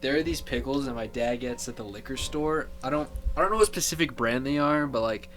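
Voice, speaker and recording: A man's voice: medium pitch, brisk. Young man, casual podcast chatter, enthusiastic, swears a lot, faint music. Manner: excitable, swears while gushing. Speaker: podcast co-host. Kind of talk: casual comedic chat.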